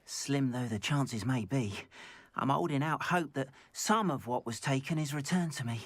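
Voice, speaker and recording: A man voicing a gentle, wronged goblin painter in a video game, speaking in an earnest, measured and formal way. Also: mid-range voice, no room sound, close to an everyday voice. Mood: grateful, wistful. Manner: measured, expressive, formal old-fashioned phrasing. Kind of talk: video game character acting.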